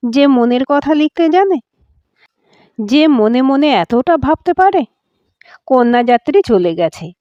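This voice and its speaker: A woman reading an emotional story aloud as a narrator, in an expressive, dramatic storytelling manner. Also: on the high side, no echo, lo-fi sound.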